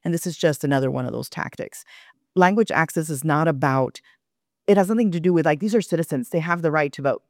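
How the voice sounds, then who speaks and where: Low-voiced earnest woman. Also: fast talker, a little mellow, no echo, clean studio sound. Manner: earnest, explains at length with quoted asides. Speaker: panel guest, community organizer. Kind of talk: conversational panel discussion.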